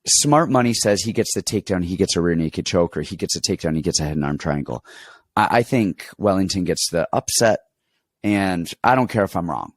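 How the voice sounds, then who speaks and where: A deep-voiced man, stuffed up and congested, rambles through a casual fight breakdown. He tells animated stories full of restarts and asides. The sound is close and dry, with no room around it. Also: brisk, dry recording. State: congested, getting over an illness. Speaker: podcast co-host and fight coach. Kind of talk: casual sports betting chat.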